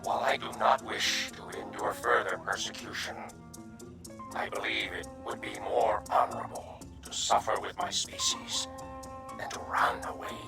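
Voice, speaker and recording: A man playing a gentle, noble insect-like alien in a radio drama, speaking formally and earnestly in a breathy half-whisper. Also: medium pitch, wildly swooping pitch. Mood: solemn, resolved. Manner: formal, measured, stilted speech without contractions. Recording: echoing voice with music behind.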